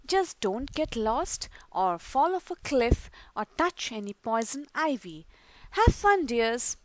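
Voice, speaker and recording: A woman voicing a cheerful, caring mother in a storybook read-aloud, with a brisk motherly manner. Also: on the high side, very animated, dry recording, low-quality recording.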